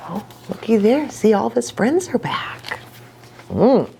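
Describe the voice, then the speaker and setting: A woman's voice: on the high side, very animated, unhurried, creaky, noisy background. A woman reading a children's story aloud expressively, adding little asides for young listeners. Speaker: children's storybook reader, pastor.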